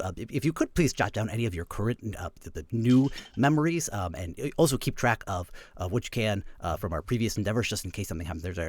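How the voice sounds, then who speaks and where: A man's voice: lowish voice, no room sound. A man playing a tabletop roleplaying game in character, hesitant and stammering, with fussy, formal, wordy phrasing. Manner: hesitant, stammering, repeats words.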